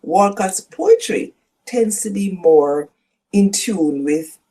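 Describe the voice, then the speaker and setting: An older woman from Jamaica with a Jamaican accent, speaking as a guest professor in a measured, storytelling way that leans on key words. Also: medium pitch, animated, dark tone, slightly rough. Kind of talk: reflective interview conversation.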